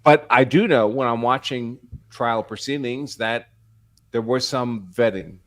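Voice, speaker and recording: A man speaking as a trial commentator on a casual live panel, carefully explaining his opinions with animated emphasis when making a point. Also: medium pitch, a little slow, no echo.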